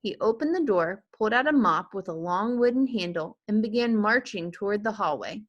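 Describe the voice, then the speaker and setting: A woman reading a children's story aloud with expressive narration. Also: mid-range voice, a little fast, dull audio. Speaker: teacher or reader reading a children's book aloud.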